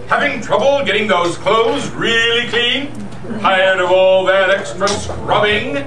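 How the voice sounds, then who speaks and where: Slow, high-voiced man reading a radio ad. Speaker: sponsor announcer in a live radio-style show. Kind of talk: scripted 1940s radio advertisement reading. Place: senior center hall. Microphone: picked up from far off. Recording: echoey, distant recording with audience murmur.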